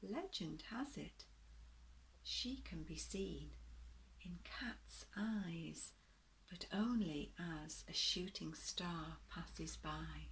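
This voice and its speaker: A woman reading a children's story aloud in a gentle, deliberate, expressive way, pausing to talk to her young listeners. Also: fairly low pitch, slight background noise, poor audio.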